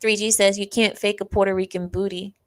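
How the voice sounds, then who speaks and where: A woman's voice: medium pitch, level tone, brisk. A young woman hosting a livestream, telling a personal story in a chatty way, laughing often and reading out chat comments. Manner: chatty, laughs often, acts out quoted classmates. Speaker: livestream host.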